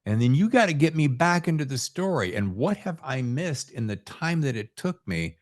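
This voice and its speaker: A man's voice: on the high side, sing-song, moderate pace. A man hosting a casual chat, emphatic and given to acting out imagined reactions. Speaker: podcast host.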